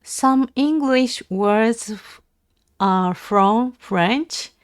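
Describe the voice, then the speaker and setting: A Japanese woman with a Japanese accent, practising language aloud on a casual livestream in a halting, hesitant way. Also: on the high side, clean tone, dry recording, clean studio sound. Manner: hesitant, halting English with self-corrections. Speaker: live streamer learning English. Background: computer keyboard typing and clicking.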